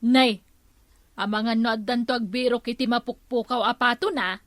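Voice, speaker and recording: An actress playing a strict, gossipy village councillor in a Filipino radio comedy drama, scolding, with an Ilocano accent. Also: female voice, on the high side, steady tone, moderate pace, fairly bright tone, no echo, natural voice.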